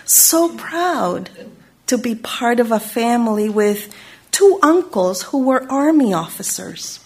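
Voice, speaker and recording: Woman speaker, unhurried and solemn, sermon delivery. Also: fairly high pitch, breathy edge. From Chile. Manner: measured, solemn, lingering on single words. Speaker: Unitarian Universalist speaker. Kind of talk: slow reflective sermon.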